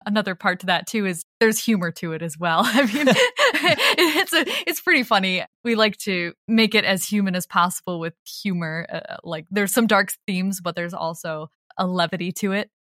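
Woman guest with a dry, mid-pitched voice. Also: sing-song, normal speed, hardly any pauses, fairly bright tone, no echo. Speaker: audio drama co-creator, interview guest. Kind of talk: casual conversational interview.

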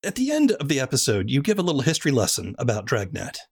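A woman hosting an interview, thoughtful and measured, with the odd playful aside. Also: rumbling low, huge pitch swings, darkish tone, breathy edge. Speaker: podcast interviewer. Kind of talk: conversational interview.

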